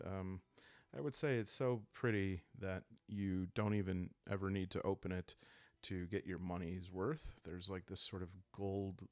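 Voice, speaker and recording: A man speaking calmly and soothingly in an unhurried way, hosting a relaxing role-playing series meant to help listeners fall asleep. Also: low-pitched, creaky, no echo. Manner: calm, unhurried, soothing delivery. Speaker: host of a solo role-playing video series. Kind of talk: calm, sleep-aid ASMR narration to viewers. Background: background music.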